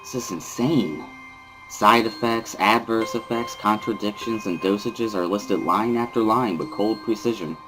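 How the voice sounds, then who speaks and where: Male narrator, lowish voice, somber, weary, first-person dramatic reading, clear background music. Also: a little slow, rough recording.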